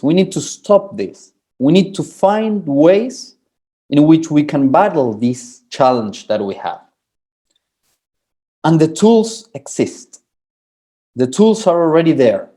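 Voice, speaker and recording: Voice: mid-range voice, warm tone. A speaker from Argentina gives an academic presentation, often restarting and repeating words while explaining with examples. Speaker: forum speaker.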